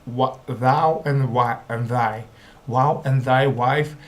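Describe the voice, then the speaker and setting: Slow, hesitant non-native English reader, male. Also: medium pitch, faint music behind. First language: not English. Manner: hesitant, stumbling, repeats words. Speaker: language learner. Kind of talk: halting read-aloud of scripture.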